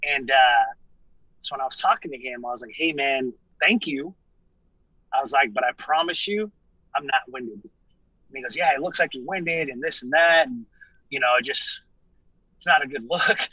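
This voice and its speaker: A man's voice: mid-range voice, normal speed, pauses often, pushed and bright, breathy edge, no echo, poor audio. A man in his late thirties, a wrestler guest on a podcast, chatting casually and laughing as he tells stories. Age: 30s. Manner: laid-back, laughing, profane. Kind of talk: casual chat.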